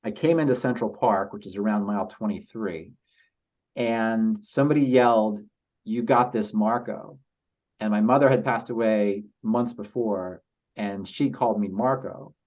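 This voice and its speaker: A man speaking as a podcast guest, telling personal stories in a halting, reflective and earnest way. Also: lowish voice, a little mellow. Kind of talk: casual interview storytelling. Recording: slightly dull lo-fi audio.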